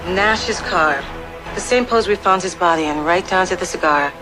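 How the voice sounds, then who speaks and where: A woman's voice: lowish voice, normal speed, music in the background, lightly acted, rough recording. A woman playing a blunt, sardonic police detective in a crime drama, with dry and pointed delivery. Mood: pressing, serious.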